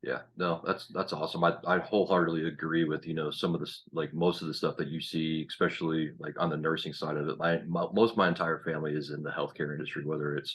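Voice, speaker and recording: A man's voice: extremely low pitch, little pitch movement, quick pace, hardly any pauses, a bit bright, breathy edge. A man in his late thirties from Kansas hosting a podcast, talking in a laid-back, rambling way with lots of fillers. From Kansas, USA. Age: 30s. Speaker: podcast host. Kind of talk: casual podcast interview.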